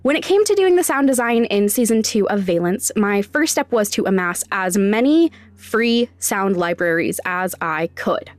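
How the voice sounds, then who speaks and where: A woman's voice: medium pitch, animated, moderate pace, hardly any pauses, soft background music. A young adult woman hosting a podcast, narrating conversationally in a candid, informal, wryly self-deprecating way. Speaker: podcast host and sound designer.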